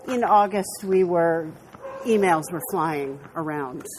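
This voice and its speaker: A woman's voice: on the low side, takes it slowly, a little mellow, slight background noise. An older American woman, a guest walking artist, chatting in a meandering way while walking outdoors through city streets. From United States. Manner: chatty, meandering, laughs easily. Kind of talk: casual walking interview.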